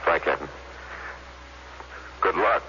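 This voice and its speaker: An actor playing an idealistic, doomed renegade space explorer in an earnest, intense 1950s radio drama style. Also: male voice, low-pitched, slow. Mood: earnest, wistful. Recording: dull, slightly noisy vintage radio recording.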